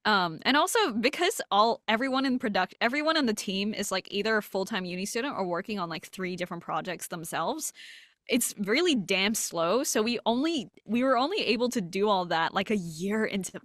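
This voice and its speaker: A woman with a medium-pitched voice talks as a guest in a casual interview. She is candid and pokes fun at herself, swearing now and then. The sound is dry, with no room echo. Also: expressive, fairly quick, a bit bright. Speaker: interview guest, voice actor and illustrator.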